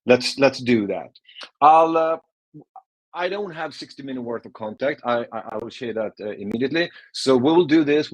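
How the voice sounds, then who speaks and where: A man's voice: medium pitch, husky, dry recording, rough recording. A Swedish man, a non-native English speaker, giving a casual, chatty online presentation with frequent restarts. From Sweden.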